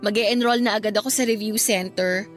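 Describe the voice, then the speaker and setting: A woman's voice: medium pitch, steady tone, fairly quick, faint music behind, close to an everyday voice. A woman playing a grateful, wistful young woman in a Filipino radio drama, speaking with a Filipino accent. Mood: earnest, wistful. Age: young adult. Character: grateful, wistful young woman, newly graduated, missing her late love.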